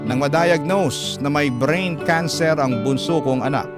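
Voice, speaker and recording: A man's voice: on the high side, measured pace. A man narrating an emotional first-person story as a radio storyteller, reading from a script. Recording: loud guitar music bed. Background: guitar music.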